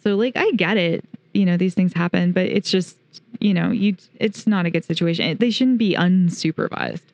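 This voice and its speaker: A woman co-hosting a casual podcast, chatty and quick to laugh. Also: on the low side, lively intonation, a little mellow, no echo. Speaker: podcast co-host. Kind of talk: casual chat.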